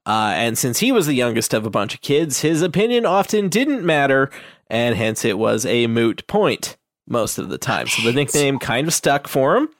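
A man's voice: medium pitch, very animated, moderate pace, no echo, studio quality. A man chatting casually as a player on a tabletop game podcast. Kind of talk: casual chat.

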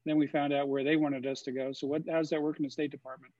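A man hosting a question-and-answer session, speaking haltingly with many fillers, as if over a video call. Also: a little high, level tone, darkish tone, dry recording. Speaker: host of a webinar Q&A. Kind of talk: casual moderated Q&A. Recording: dry, dull lo-fi sound.

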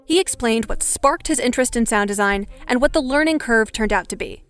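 A young woman hosting a podcast, giving clear, instructive scripted narration and asking interview questions. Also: fairly high pitch, animated, brisk, fairly bright tone, soft background music. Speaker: podcast host.

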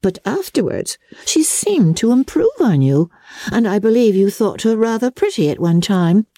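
A woman's voice: medium pitch, sing-song, moderate pace, dark tone, dry recording, pitched above the performer's own voice. A woman reading a classic novel, voicing a spiteful, haughty young society woman with an arch, mocking manner.

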